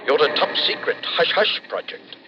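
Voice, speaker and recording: A swooping, sly radio-thriller bearer of bad news. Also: male voice, a little high, sing-song, normal speed, lo-fi sound. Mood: grimly reassuring. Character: sly, chatty man breaking grim news to a friend. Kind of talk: old-time radio suspense acting. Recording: band-limited, faintly noisy old radio recording.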